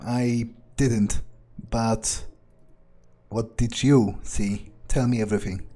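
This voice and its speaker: A man's voice: mid-range voice, fairly even intonation, slow pace, dark tone. A man role-playing his character through a video game, musing and thinking aloud in a hesitant way. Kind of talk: in-character let's play commentary.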